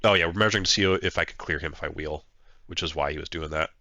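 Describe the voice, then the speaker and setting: Stuffed-up guy with a flu, low gravelly voice, rambling through his tabletop game, dry close mic. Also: fast, sharp tone, dry recording. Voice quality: nasal, stuffed-up. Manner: thinking aloud, hesitant with fillers. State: congested from a flu. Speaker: player narrating his own battle report. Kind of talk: casual play-by-play commentary.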